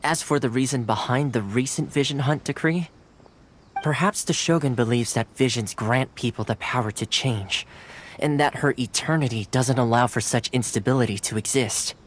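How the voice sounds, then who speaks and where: A man voicing a polite, composed retainer who explains things in a measured way. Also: medium pitch, slight background noise, close to an everyday voice. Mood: calm. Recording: game audio with clear music behind.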